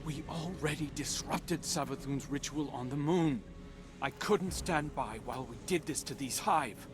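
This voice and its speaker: An actor playing a remorseful, principled younger rebel guardian, earnest and plain-spoken, in a video game cutscene. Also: male voice, on the high side, unhurried, over music, lightly acted. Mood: quietly defiant.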